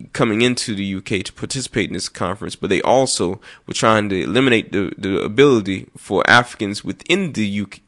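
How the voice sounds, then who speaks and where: A man hosting a call-in radio talk show, speaking with frequent pauses and restarts, slowing down to read out phone numbers. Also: fairly low pitch, vocal fry, a bit bright. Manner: many fillers and restarts, slow over numbers. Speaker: radio host.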